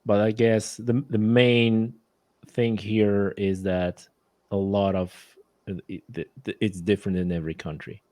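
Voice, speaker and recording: Polish-accented male guest, low register, halting casual delivery. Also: flat delivery, mellow, no room sound. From Poland. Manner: hesitant, restarts phrases, non-native grammar. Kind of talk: casual conversation.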